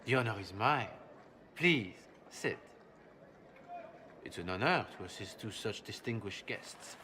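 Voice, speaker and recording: A man playing a suave, courteous nobleman with a secret sinister side in a video game, speaking in a ceremonious, coolly measured way. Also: on the low side, expressive, background noise. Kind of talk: dramatic video game cutscene acting.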